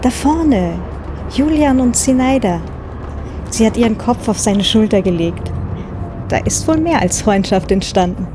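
An actress playing a romantic, affectionate wife on holiday, tender and gently teasing, in an audio drama. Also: female voice, fairly high pitch, expressive, measured pace, noisy background. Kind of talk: German audio drama dialogue.

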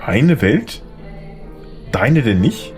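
A man's voice: medium pitch, big pitch swings, extremely slow, faint music behind. Guy playing a confused sarcastic man on the run, radio play, exasperated, voice moves a lot. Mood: wry, skeptical.

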